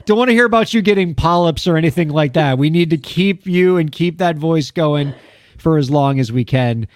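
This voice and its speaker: A man's voice: on the high side, moderate pace, dry recording, studio quality. A man hosting a radio interview show, enthusiastic and effusive with his guest. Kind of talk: casual interview chat.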